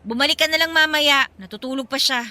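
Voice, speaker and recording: A young single mother acted by a woman in a radio drama, with a high, very piercing bright voice. She is defiant, answering politely but with pointed sarcasm. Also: brisk. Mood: irritated.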